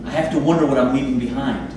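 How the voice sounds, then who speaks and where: A man's voice: medium pitch, steady tone, fast talker, natural voice. A man playing a needy, overbearing surgeon boss in a staged play reading, pushy and wheedling. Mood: creepy, confiding. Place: theatre space, recorded from audience distance. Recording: echoey room, far mic, noisy.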